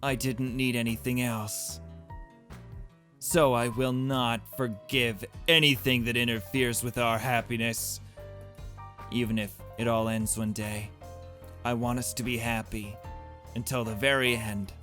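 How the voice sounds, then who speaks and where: A man voicing a deranged, devoted young narrator from a horror visual novel, reading slowly and intimately. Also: medium pitch, level tone, sharp tone, soft background music. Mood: devoted, intense. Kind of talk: slow dramatic reading of game text.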